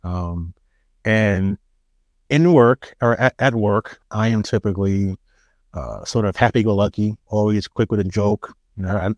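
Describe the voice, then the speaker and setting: A man with a New York accent, co-hosting a podcast in casual chat, soft-spoken and halting, often restarting his sentences with a self-deprecating air. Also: fairly low pitch, no echo. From Queens, New York. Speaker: podcast co-host.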